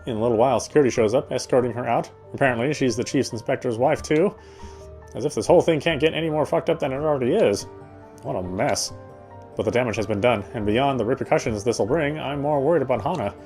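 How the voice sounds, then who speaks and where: Male game streamer narrating a visual novel: mid-pitched, expressive read, the odd stumble, music behind. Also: quick pace. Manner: expressive reading with stumbles over words.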